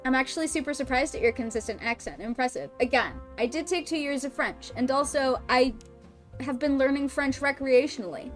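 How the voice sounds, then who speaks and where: Young woman, streamer, casual chat, jokey, high-pitched. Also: brisk, soft background music. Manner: jokey and playful, swears freely.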